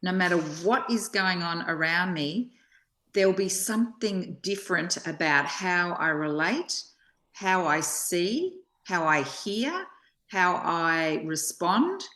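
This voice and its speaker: Slow, contemplative woman in a roomy space. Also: fairly low pitch, animated, a bit bright. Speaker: study discussion partner. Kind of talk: reflective spiritual conversation. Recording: roomy, echoing sound.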